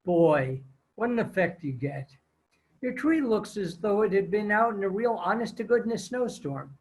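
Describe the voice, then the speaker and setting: A folksy sponsor's announcer acted by a man from old-time radio. The voice is high for a man, and the delivery is chatty, gushing and enthusiastic. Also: expressive, normal speed, darkish tone, poor audio. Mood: cheery enthusiasm. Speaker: commercial announcer.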